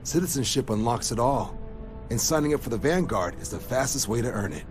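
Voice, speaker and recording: A man's voice: mid-range voice, lively intonation, brisk, music in the background, natural voice. A male actor playing an assured military recruiter in a space game, with a folksy, persuasive manner. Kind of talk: video game dialogue.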